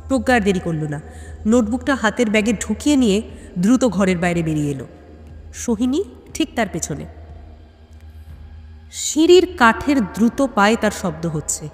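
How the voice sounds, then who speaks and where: Female storyteller, mid-pitched, for dramatic thriller narration with measured phrases and pauses, drenched in long reverb. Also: sing-song, soft background music.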